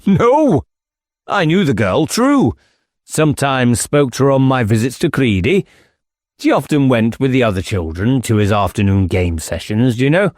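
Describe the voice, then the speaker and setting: A man's voice: medium pitch, very animated, normal speed, darkish tone, no room sound, clean studio sound, voice pushed up, lightly acted. A man voicing an evasive, whimsical English country squire in an audiobook, with airy, affected manner and clipped phrases. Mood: defensive, flustered. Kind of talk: audiobook character dialogue.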